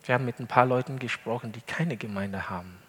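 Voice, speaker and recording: A male pastor, not a native German speaker, preaching an earnest sermon in a church hall with deliberate emphasis. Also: medium pitch, a bit bright, breathy edge. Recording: long hall reverb.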